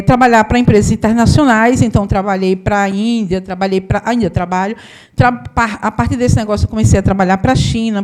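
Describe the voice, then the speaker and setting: A woman from gives a storytelling talk to a live audience. Her voice sits somewhat high. She keeps a chatty, conversational tone and often checks in with the crowd. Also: darkish tone. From Paraíba, Brazil. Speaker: accountant and business owner giving a talk.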